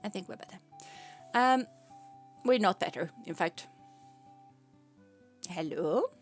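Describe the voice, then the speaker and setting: A woman's voice: medium pitch, lively intonation, slow pace, soft background music. A woman with a Swedish accent, a native Swedish speaker, chatting casually on a stream, rambling and giggling as she talks. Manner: rambling and giggly, often laughing mid-sentence. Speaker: VTuber streamer.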